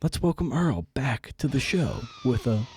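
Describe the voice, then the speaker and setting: A man's voice: mid-range voice, very animated, unhurried. A man hosting a podcast and interviewing, speaking in a casual, informal, slangy way.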